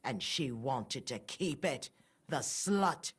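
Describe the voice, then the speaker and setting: A middle-aged religious fanatic in a medieval video game. She is haughty and sanctimonious, sneering with defiance. Her pitch swings widely as she condemns without remorse. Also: expressive, takes it slowly, husky, heavily acted. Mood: cold contempt. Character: pious, murderous mother, haughty, fanatical and unrepentant. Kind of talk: dramatic video game acting.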